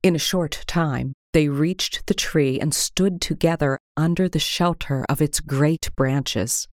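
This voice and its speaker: A woman narrating a scripted storybook tale in a measured, gentle storytelling manner. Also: low-pitched, no room sound, clean studio sound. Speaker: story reader.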